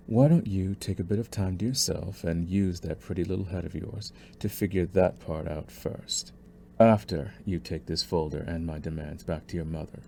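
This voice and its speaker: A man playing a possessive, scheming young mafia boss in an ASMR roleplay, smug and deliberate, with mock courtesy. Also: sits low, mellow, slight background noise. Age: young adult.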